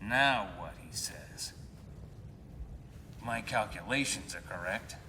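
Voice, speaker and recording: An actor playing a cocky tank crew leader in a game, with a sarcastic, gruff way of talking. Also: male voice, lowish voice, lively intonation, takes it slowly. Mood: exasperated, sarcastic. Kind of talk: animated game dialogue. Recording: clear vehicle noise.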